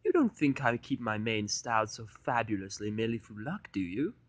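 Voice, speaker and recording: A man voicing a prim, fussy, theatrical female pony fashionista in a fan fiction audio drama, with a haughty, drawn-out, dramatic delivery. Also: lowish voice, lively intonation, dry recording. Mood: affronted, persuasive.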